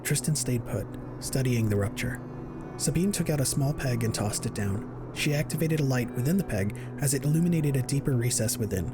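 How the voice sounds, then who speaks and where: A man's voice: medium pitch, lively intonation, fairly quick. A man reading scripted narration for a science fiction audio drama. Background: music.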